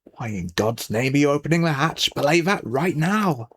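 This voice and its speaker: A man's voice: on the high side, expressive, moderate pace, a bit bright. A man giving casual, rambling game commentary, musing aloud, often trailing off and reading bits of on-screen text. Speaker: let's player, gaming video host. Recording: noisy home microphone, game music behind. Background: video game music.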